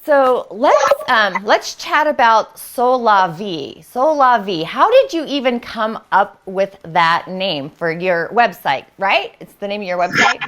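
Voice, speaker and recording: A woman hosting a podcast interview, asking questions in a relaxed, conversational way. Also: medium pitch, slow, hardly any pauses. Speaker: podcast host.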